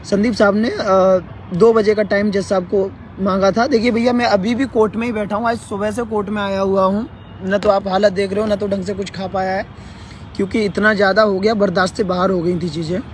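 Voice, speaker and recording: A man with a sore, hoarse throat hosting a casual live update, halting with fillers and clearing his throat. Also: high-pitched, level tone, slight background noise. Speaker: live stream host. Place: sitting outdoors near a court.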